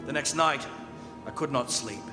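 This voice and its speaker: Radio-theatre role: terrified young gentleman prisoner acted by a man, dramatic and desperate, reverberant hall with clear musical underscore. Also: mid-range voice, normal speed, loud music behind the voice, lightly acted. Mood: haunted dread. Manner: dramatic, anguished diary narration. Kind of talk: old-time radio drama acting on stage. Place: performing arts center stage.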